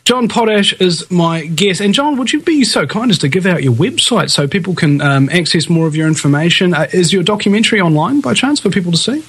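A man with a New Zealand accent and a husky voice, hosting a radio talk show in a casual interview style. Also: high voice, expressive, a little fast, hardly any pauses, fairly bright tone.

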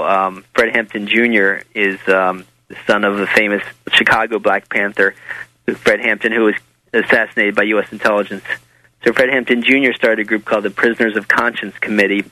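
Low, monotone American man, bright edge. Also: dry recording, poor audio. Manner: halting, rambling explanations. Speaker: interview guest, author and documentary maker. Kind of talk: casual interview talk.

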